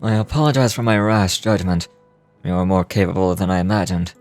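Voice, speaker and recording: Stern man of honour for a fantasy game, played by a male actor. Lowish, solemn, formal delivery, faint music underneath. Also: a little fast, darkish tone, lightly acted. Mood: grave, resolute. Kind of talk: scripted game dialogue. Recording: game audio with music behind.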